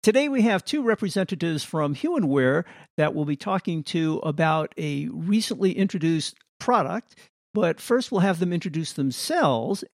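Male co-host, fairly high voice, friendly questioner, dry mic. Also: lively intonation, normal speed, no echo. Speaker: podcast co-host. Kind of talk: interview hosting.

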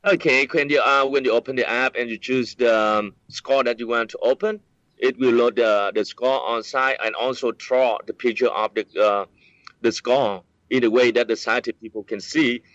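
A man's voice: mid-range voice, fairly even intonation, moderate pace, fairly bright tone, no echo. Hesitant man, dull lo-fi sound. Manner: hesitant, many fillers and restarts. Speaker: app developer, interviewee. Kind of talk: explaining, casual interview.

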